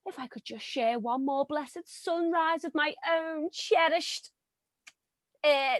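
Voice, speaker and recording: An actress playing a brash, gold-digging young widow with a Liverpool accent, melodramatic and cheeky. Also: female voice, sits high, slow pace, no room sound, clean studio sound. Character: brassy gold-digging young widow faking exotic roots. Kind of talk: stage comedy acting.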